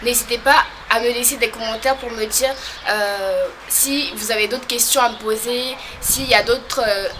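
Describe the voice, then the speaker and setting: A woman's voice: fairly high pitch, fairly even intonation, noisy background. Hesitant young woman from French Guiana. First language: a local creole or regional language. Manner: hesitant, many pauses and fillers. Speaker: vlogger. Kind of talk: casual talk to camera.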